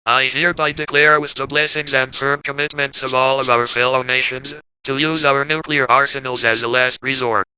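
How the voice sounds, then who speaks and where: A man's voice: medium pitch, clear, resonant tone, no echo. A man playing a wounded president who declares total war in a grave, defiant address through a voice aid. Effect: voice aid after larynx injury. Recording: dull lo-fi broadcast.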